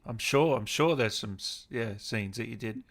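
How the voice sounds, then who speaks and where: A man's voice: medium pitch, normal speed, fairly bright tone. A man hosting a casual online gaming interview, chatting and reading out viewer questions over a video call. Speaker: interviewer and gaming show host.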